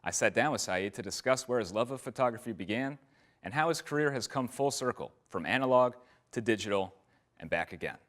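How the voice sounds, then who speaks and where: A man who reports and interviews, reading a scripted intro and asking measured questions. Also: mid-range voice, expressive, a bit bright.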